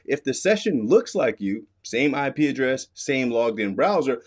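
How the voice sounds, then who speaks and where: A man's voice: medium pitch, animated, moderate pace. A middle-aged American man giving an emphatic, instructive explainer commentary as an expert host. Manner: emphatic, instructive, repeats key warnings.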